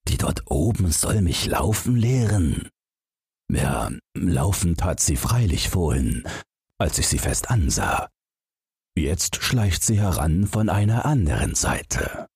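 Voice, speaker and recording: Man as a slow, husky, naive snowman. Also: low-pitched, big pitch swings, a little mellow, no room sound, studio quality, heavily acted. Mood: puzzled. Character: naive newborn snowman, proud, puzzled and stubborn.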